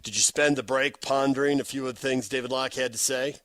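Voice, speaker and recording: Guy hosting sports talk radio, mid pitch, dry studio sound, asking interview questions. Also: fairly even intonation, brisk, a bit bright, no room sound.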